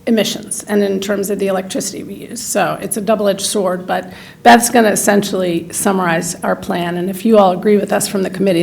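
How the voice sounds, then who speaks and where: A woman on a utility board giving a plainspoken committee report, speaking loudly. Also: medium pitch, vocal fry, very echoey, far from the mic. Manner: loud, plainspoken. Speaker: board member, committee chair.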